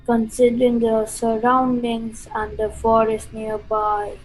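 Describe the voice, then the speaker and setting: A woman's voice: on the high side, monotone, takes it slowly, faint music behind, low-quality recording. A woman playing an unctuous, eerie castle servant, menacingly polite, in a radio play. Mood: ominous. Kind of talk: amateur radio play acting.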